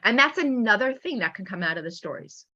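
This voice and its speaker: A woman teacher giving an informal webinar talk with anecdotes in an animated manner, acting out remarks as she goes. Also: medium pitch, brisk, muffled recording. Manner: animated, acts out pupils' remarks. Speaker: teacher and author presenting a webinar.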